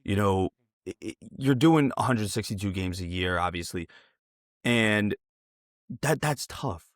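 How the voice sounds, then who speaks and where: Male interviewer, slightly low voice, dry recording, earnest and conversational, circles around his questions. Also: animated, measured pace, no echo. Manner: earnest, rambling questions with repeated phrases. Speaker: interviewer, host.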